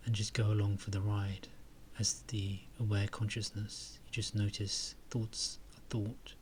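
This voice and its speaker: A man leading a guided meditation and reflection in a soft, calm way, with phrases trailing into breathy murmurs. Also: fairly low pitch, unhurried, a little hiss or hum.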